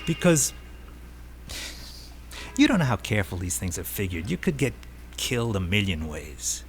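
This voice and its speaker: A man's voice: fairly low pitch, big pitch swings, moderate pace, soft background music. An American actor in a radio drama playing a brash, restless army buddy, a self-assured ladies' man who speaks in emphatic, colloquial phrases. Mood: matter-of-fact, firm. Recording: noisy old radio drama recording.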